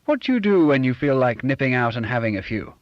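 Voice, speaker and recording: Brief: narrator doing a curt, patronising chap with a fake posh lilt, pitched up and loud, dull dry recording. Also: male voice, sing-song, fast talker, dry recording, natural voice. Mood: bluff, patronising. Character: curt man with a fake genteel accent. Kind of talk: audiobook character voice.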